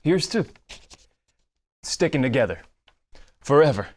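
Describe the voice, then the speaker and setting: A man's voice: medium pitch, very animated, unhurried. A man playing a brash, loyal young street drug dealer in a drama table read. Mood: tough, loyal.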